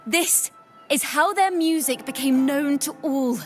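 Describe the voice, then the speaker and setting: A woman voicing a young female bard in a video game, defiant and playful, telling her tales in a theatrical, poetic manner. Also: high-pitched. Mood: solemn, stirring. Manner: theatrical, measured, poetic delivery. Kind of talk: dramatic storytelling in game voice acting. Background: music.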